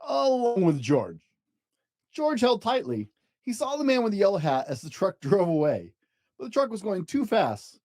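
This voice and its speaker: Children's picture-book narrator: mellow, gentle tone with lively, swooping intonation. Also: medium pitch, normal speed. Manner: animated, gentle, addressing young children. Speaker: storyteller reading a children's book aloud.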